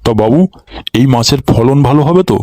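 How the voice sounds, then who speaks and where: A man's voice: mid-range voice, a little fast, background noise, lightly acted. A man voicing an eager, gullible older villager in a comic cartoon, chatty and exclaiming, repeating his words.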